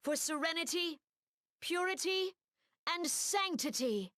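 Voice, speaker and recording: A woman's voice: very high voice, lively intonation, a bit bright, no room sound, clean studio sound, heavily acted. A woman playing a solemn, dignified leader giving a formal, earnest ceremonial speech in a fantasy game. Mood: rousing, hopeful. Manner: measured, earnest oratory. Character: solemn, dignified female leader addressing her grieving people.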